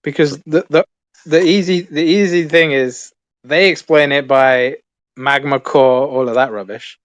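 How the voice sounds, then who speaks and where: A man's voice: a little high, fairly even intonation, a little slow, no echo, lo-fi sound. A man in an online debate, dismissive and cutting in over others. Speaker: debater on a call.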